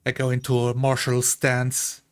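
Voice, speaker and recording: A man's voice: medium pitch, completely flat delivery, a little slow, clear, resonant tone. A man playing a tabletop roleplay game, describing his character's actions in the first person.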